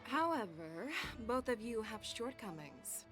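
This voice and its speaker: An actress playing a wise, composed female general in a video game cutscene, measured and gently admonishing. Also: fairly high pitch, very animated, music in the background. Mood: composed, advising.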